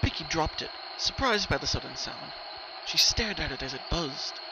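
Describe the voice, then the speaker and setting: A man narrating a story as a dramatic reading, with expressive, suspenseful delivery. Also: on the high side, moderate pace, background noise. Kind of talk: dramatic fan fiction reading.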